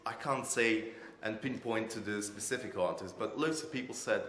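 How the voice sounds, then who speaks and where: Male artist interviewee, Croatian accent, fairly low voice, halting delivery, roomy sound. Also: fairly bright tone. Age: 40s. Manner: hesitant, searching for words. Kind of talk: unscripted interview answers. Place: indoors in an art gallery room. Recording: echoey room.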